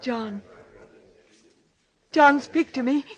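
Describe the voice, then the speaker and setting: An actress playing a doctor's anxious, devoted wife in 1940s radio drama, speaking in a fearful, halting, pleading way. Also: female voice, high-pitched, highs cut off. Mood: distraught. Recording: old radio drama recording.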